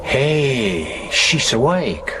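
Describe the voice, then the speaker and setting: A man's voice: medium pitch, sing-song, drawn-out words, noisy background. A man acting in a radio drama as a laconic, practical, plain-spoken expedition mechanic. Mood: relieved, gruffly kind.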